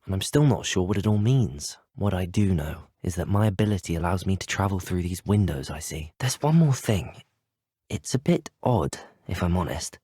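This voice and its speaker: Polite young British wizard student, low clear voice. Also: male voice, darkish tone, no room sound, studio quality. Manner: polite, measured, well-spoken. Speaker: video game player character. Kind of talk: scripted game dialogue.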